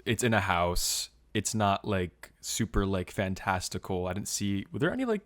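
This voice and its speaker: Low-voiced man in a dry, close recording. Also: very animated, normal speed, a bit bright, no echo. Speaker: podcast panelist. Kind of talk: casual chat.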